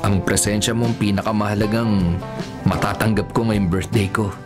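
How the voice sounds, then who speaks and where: Actor as a smitten young Filipino man, loud music behind. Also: fairly low pitch, flat delivery, moderate pace, lightly acted. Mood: tender. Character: smitten young man, birthday celebrant, terrified by a monster. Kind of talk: Filipino radio horror drama acting.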